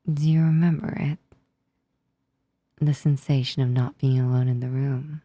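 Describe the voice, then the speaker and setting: A woman playing a female sleep paralysis demon in an ASMR roleplay, softly and intimately into a close microphone, often whispering, by turns teasing, menacing and awkwardly tender. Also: sits low, no room sound, exaggerated voice. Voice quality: soft, breathy, often whispering. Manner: slow, intimate, close-up delivery. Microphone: close, dry microphone.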